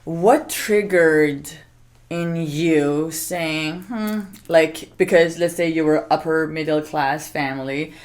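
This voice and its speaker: Woman interview host, lowish pitched voice, rewords her questions as she talks. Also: slow pace. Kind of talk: conversational interview.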